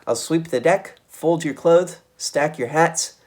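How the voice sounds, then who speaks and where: A man's voice: a little high, slow pace, pitched above the performer's own voice. A man voicing a small, eager boy mouse nephew in a storybook, chirpy and sweetly boastful.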